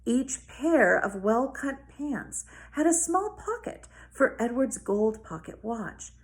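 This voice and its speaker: A woman schoolteacher talking and reading aloud to children in an expressive way. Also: on the high side, slow. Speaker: elementary school teacher. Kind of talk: casual talk to pupils and storybook read-aloud.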